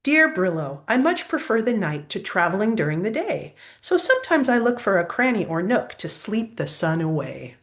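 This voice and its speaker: A woman's voice: mid-range voice, very animated, moderate pace, few pauses, a bit bright. A woman voicing a curious, wondering male armadillo traveler in a storybook, earnest and full of questions. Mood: chatty.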